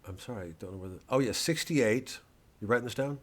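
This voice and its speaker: A man's voice: mid-range voice, fast. A male comedian casually promoting a live show in a rambling, digressive way, with a wry, mock-earnest delivery.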